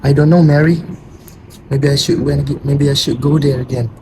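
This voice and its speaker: A man's voice: medium pitch, steady tone, a little fast, close to an everyday voice. Young amateur actor as solemn old man, echoey room. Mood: troubled, hesitant. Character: sorrowful, fearful old grandfather of eighty-three. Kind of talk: amateur student stage play performance. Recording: very echoey room, distant mic, faint noise.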